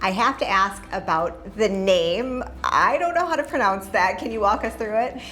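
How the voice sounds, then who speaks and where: A woman's voice: mid-range voice, lively intonation, moderate pace, a bit bright. A woman hosting a local TV feature, asking guests friendly, upbeat questions. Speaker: show host and interviewer.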